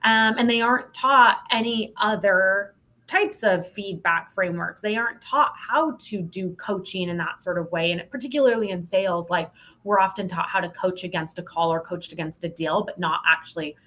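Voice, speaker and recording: A woman speaking candidly and emphatically as an interview guest, in an expressive conversational way. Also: mid-range voice, moderate pace. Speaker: podcast guest, sales leadership expert.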